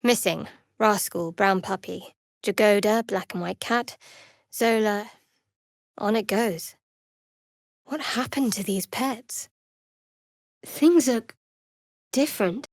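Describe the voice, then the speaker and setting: A woman playing a guarded, sardonic young cleric of a dark goddess in a video game, with a dry, wry delivery. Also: medium pitch, takes it slowly, long pauses, no echo. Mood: dry, curious. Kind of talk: dramatic video game voice acting. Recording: very dry close studio recording.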